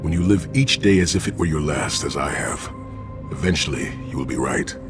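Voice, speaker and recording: An actor playing a noble, stoic warrior and sworn protector in a fantasy game, speaking in a solemn, measured way. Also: male voice, a bass voice, music in the background. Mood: solemn, tender.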